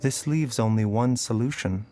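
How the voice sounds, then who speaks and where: An actor playing a calm, principled physician in a video game, measured, articulate and earnest, with a philosophical bent. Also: male voice, medium pitch, faint music behind, natural voice. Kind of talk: scripted video game dialogue.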